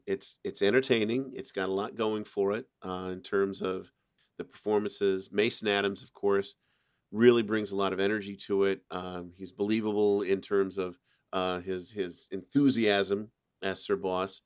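Low-pitched man hosting a chatty podcast. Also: flat delivery, measured pace, clear, resonant tone. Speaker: podcast host. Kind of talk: casual panel discussion.

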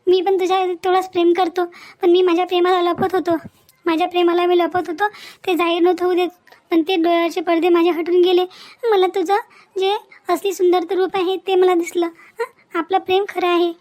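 Woman doing a worried new bride in a cartoon drama, really high voice, earnest and pleading. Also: level tone, fairly quick, darkish tone. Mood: anxious, earnest. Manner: earnest, pleading, repeats phrases. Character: anxious, devoted young bride, self-doubting and earnest. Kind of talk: cartoon family melodrama acting.

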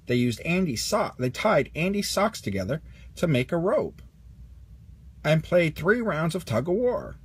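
A man narrating a children's storybook as an expressive read-along. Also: a little high, unhurried, husky. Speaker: storybook reader.

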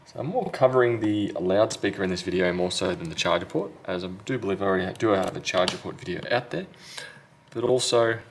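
Man, low-pitched, fry in the voice, casual tutorial delivery, matter-of-fact, faint background noise with tool clicks. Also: fairly quick. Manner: matter-of-fact, talking while working with hands. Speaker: phone repair technician, tutorial host. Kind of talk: casual step-by-step repair tutorial. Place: indoor repair workbench.

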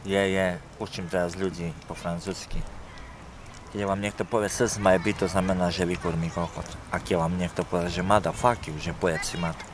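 A middle-aged man from Slovakia giving a deadpan mock lesson on crude phrases, filmed outdoors by a street. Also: low-pitched, brisk. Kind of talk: mock lesson on swear words. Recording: old video clip with street noise. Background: traffic and urban noise.

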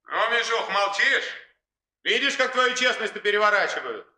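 A man's voice: high voice, sing-song, moderate pace, sharp tone, heavily acted. A man acting in a 1960s radio play as a brash young worker, a cheeky, needling womanizer. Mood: urgent coaxing.

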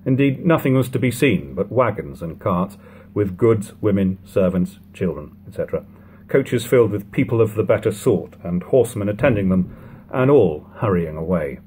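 A man in his thirties reading a classic novel aloud at home, in a measured, steady way, letting sentence ends fall quiet. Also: lowish voice, a little hiss or hum. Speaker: audiobook reader. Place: living room at home.

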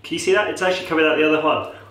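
A man's voice: fairly high pitch, very fast, a bit bright, slight background noise. A man in his thirties with a nasal voice, chatting through a casual how-to demo in a small tiled bathroom with a camera close in front, tap water running behind. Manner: chatty, self-mocking, rambling asides. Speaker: vlogger. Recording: echoey bathroom.

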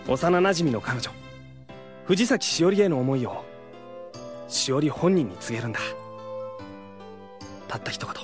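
A woman voicing a hopeful, easily flustered teenage boy in a casual, expressive audio drama style. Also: low voice, measured pace, pauses often, music in the background. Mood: hopeful narration. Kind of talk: scripted Japanese anime-style audio drama acting.

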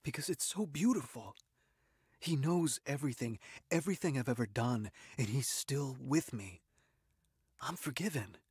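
Husky, earnest man in radio drama. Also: medium pitch, expressive, normal speed, darkish tone, dry recording, studio quality. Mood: tearful awe. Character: earnest, tender male cousin newly moved by faith.

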